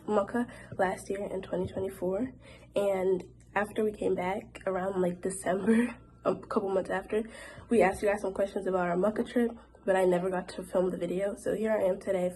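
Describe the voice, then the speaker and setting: A woman's voice: mid-range voice, steady tone, fairly quick, dark tone, a little hiss or hum, low-quality recording. A young woman vlogger chatting casually to camera in a Q&A, her voice a little off from a cold, with many fillers like "like". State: recovering from a cold, voice slightly off.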